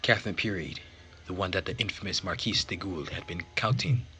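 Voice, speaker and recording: A man reading a visual novel aloud as host and narrator, with measured, theatrical phrasing. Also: low-pitched, bright, forceful tone, slight background noise. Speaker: let's play host and narrator.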